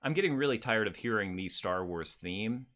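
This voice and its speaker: A man's voice: on the low side, animated, moderate pace, a bit bright. A man hosting a casual pop-culture podcast, talking in a rambling, hesitant way. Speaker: podcast host.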